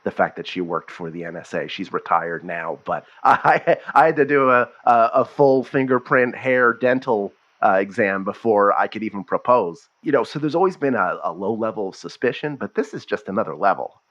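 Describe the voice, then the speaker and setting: A man's voice: on the low side, expressive, moderate pace, hardly any pauses, a bit bright, muffled recording. A man playing a fussy, defensive husband calling an advice show, hesitant and earnest, in improvised comedy. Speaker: caller.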